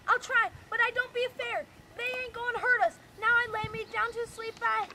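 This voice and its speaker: A woman reading aloud, voicing a nervous young boy who talks in a hushed whisper, with background noise. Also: very high voice, level tone, moderate pace, voice pushed up, full character voice.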